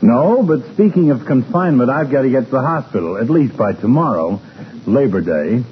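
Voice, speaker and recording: A man's voice: medium pitch, lively intonation, brisk, close to an everyday voice. A man playing a jovial, needling family doctor in old-time radio comedy, teasing and punning. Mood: wry. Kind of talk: 1940s-50s radio comedy acting. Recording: muffled, very noisy old radio recording.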